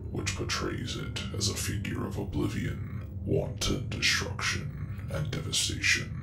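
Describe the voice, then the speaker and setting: A man playing a solemn Space Marine archivist, reciting lore formally in a measured, breathy ASMR roleplay murmur. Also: exaggerated voice. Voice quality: very deep, gravelly, breathy low murmur. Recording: echoing voice over background music. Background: music.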